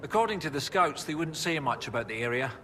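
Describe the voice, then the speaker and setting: An actor playing an enthusiastic research professor in a video game, explaining things in a pedantic, eager way. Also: male voice, a little high, animated, quick pace, sharp tone, slight background noise. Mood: absorbed, explanatory. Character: enthusiastic, bookish male research professor and archaeologist. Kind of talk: video game dialogue acting.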